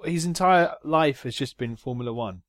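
A man's voice: medium pitch, moderate pace, no echo, studio quality. A man co-hosting a casual podcast about motor racing, joking and laughing often. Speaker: podcast co-host.

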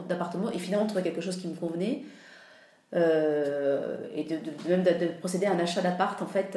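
A woman's voice: lowish voice, warm tone. A woman from France talking casually as a podcast guest, hesitating often with fillers and restarts. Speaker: interview guest. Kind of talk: casual interview conversation. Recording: roomy echo.

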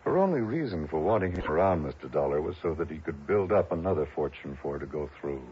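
An actor playing an older, world-weary man with a guarded past, speaking in a halting, deliberate way in a radio drama. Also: rumbling low, animated. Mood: weary, bitter. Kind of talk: 1960s radio drama acting. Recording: dull old radio recording, faint noise.

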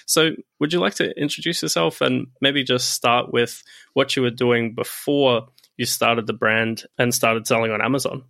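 A man hosting a business podcast, reading a scripted intro and then chatting with a guest in a brisk, friendly way. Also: medium pitch, fairly even intonation, vocal fry, bright, forceful tone, no echo. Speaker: podcast host and deal maker.

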